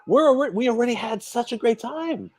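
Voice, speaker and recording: A man co-hosting a live show in casual chat, excitable, often repeating and tripping over his words. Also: very high-pitched, moderate pace, dry recording. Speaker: guest co-host.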